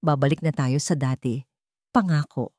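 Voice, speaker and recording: A woman's voice: low voice, normal speed, a little mellow, dry recording, clean studio sound, pitched below the performer's own voice. Woman narrator as a coaxing, deceitful Filipino husband. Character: smooth-talking deceitful husband, affectionate and self-assured.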